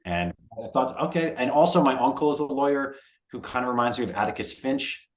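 A man co-hosting a casual podcast, talking in an animated way as he thinks aloud. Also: mid-range voice, fairly quick. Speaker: podcast co-host. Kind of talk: casual conversation. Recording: roomy echo.

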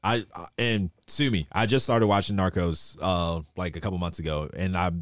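A man's voice: low voice, fairly even intonation, moderate pace, no echo. A man from the United States co-hosting a podcast, teasing his friends in laid-back casual banter. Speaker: podcast co-host. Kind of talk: casual chat.

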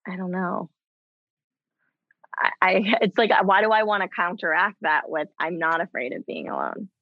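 Halting woman in her thirties, candid. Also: lowish voice, lively intonation, dry recording, highs cut off. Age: 30s. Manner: halting, many restarts, laughs often. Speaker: guest. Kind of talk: candid conversation.

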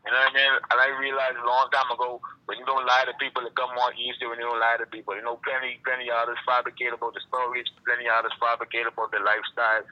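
A man's voice: mid-range voice, level tone, rapid-fire, hardly any pauses. A man from the Bahamas with a Bahamian accent, a rapper talking by phone in a laid-back way, often saying "you know what I'm saying". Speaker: rapper guest. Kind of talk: phone interview. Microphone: phone line. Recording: phone call over music.

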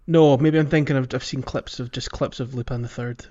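A man's voice: mid-range voice, steady tone, fairly quick, mellow, lo-fi sound. A man co-hosting a casual podcast, talking hesitantly as he thinks aloud. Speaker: podcast co-host.

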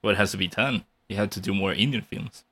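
A man's voice: on the low side, fairly quick, pushed and bright. A man co-hosting a casual podcast, mostly chiming in with short agreeing replies. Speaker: podcast co-host.

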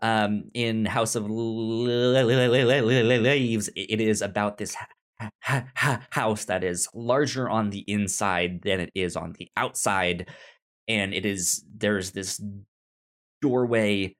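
A man's voice: lowish voice, fairly even intonation, slow pace, fairly bright tone. A man with a pronounced stutter, chatting casually as a podcast co-host about things he recommends. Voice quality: pronounced stutter, repeating sounds and syllables. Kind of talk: casual discussion and recommendations of books and shows.

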